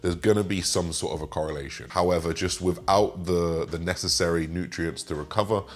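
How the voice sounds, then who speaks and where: A man in his twenties with a slightly raspy voice, chatting casually as he answers viewer questions and rambles with fillers. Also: low-pitched, a little fast. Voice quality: raspy today. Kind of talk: casual Q and A monologue.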